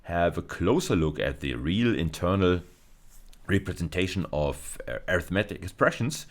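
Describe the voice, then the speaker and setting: Male university lecturer, very low bass voice, halting explanatory delivery for technical teaching. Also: animated.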